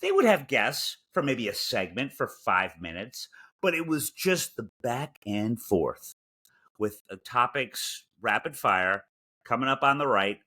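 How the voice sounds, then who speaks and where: A man's voice: medium pitch, sing-song, a little slow, bright, forceful tone. A man with a Chicago accent and a nasal voice, co-hosting a podcast in dry, self-mocking, rambling banter. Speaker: podcast co-host. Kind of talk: casual banter.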